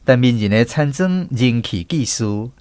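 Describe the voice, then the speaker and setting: A man's voice: mid-range voice, animated, measured pace, darkish tone, clear, resonant tone, no echo. A man with a Taiwanese accent, a radio host and storyteller reading scripted narration.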